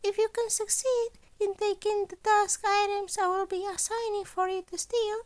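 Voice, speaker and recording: A woman's voice: extremely high pitch, little pitch movement, moderate pace, no room sound, voice pushed up. A woman voicing a small male animal school principal, a cunning, gleeful schemer who talks in formal, wordy sentences in a fan fiction audio story. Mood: scheming, intense. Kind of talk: acted dialogue in a fan fiction reading.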